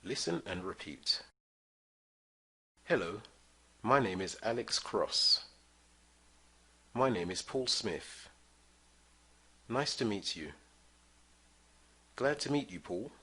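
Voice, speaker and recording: A man teaching English, reading short phrases slowly and clearly for listeners to repeat. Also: lowish voice, animated, sharp tone. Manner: clear drill-style reading of phrases for repetition. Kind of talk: slow scripted language lesson.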